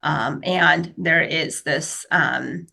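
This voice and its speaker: Woman presenting in a webinar over a video call, chinese is her first language, bright crisp tone, keeps restarting her sentences. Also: low voice, very slow. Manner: explanatory, many fillers and restarts. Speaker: webinar speaker, researcher.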